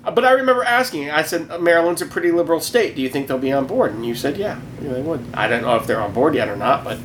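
A man with a somewhat high voice co-hosting a casual podcast. He ribs his co-host with open sarcasm and loose banter. A faint hiss sits under the recording. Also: expressive, a bit bright, low-quality recording. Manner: sarcastic, jokey, quick to needle.

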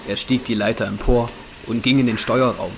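A man's voice: mid-range voice, steady tone, fairly quick, gravelly, a little hiss or hum. A man reading scripted narration for an audio drama. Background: music.

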